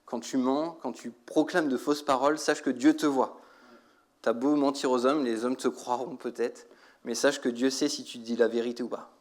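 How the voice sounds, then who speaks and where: A man's voice: medium pitch, fairly even intonation, normal speed. A man preaching a sermon, exhorting his listeners with rhetorical questions and repeated phrases for emphasis. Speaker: church preacher.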